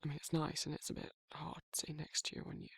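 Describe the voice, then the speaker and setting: A man's voice: a little high, completely flat delivery, fast, a bit bright, no room sound, exaggerated voice. A man playing a protective, awkward werewolf in an intimate ASMR roleplay, speaking breathily and hesitantly, often near a whisper. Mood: gently reassuring. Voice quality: husky, breathy, gravelly, often dropping to a whisper. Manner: hesitant, rambling, bashful, informal. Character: protective, awkward, soft-hearted male werewolf confiding in a friend.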